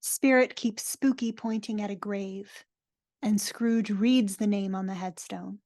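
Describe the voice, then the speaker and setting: A woman's voice: medium pitch, steady tone, unhurried, darkish tone, no room sound, clean studio sound. A woman narrating stage directions for a live dramatic reading in a wry, informal way.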